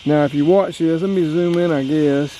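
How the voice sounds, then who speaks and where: A man's voice: fairly high pitch, fairly even intonation, moderate pace, warm tone, a little hiss or hum, poor audio. An American man giving a casual hands-on demo, talking straight to viewers in a folksy way. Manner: folksy, addresses viewers directly. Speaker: outdoors channel host and radio operator. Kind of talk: casual hands-on demonstration vlog.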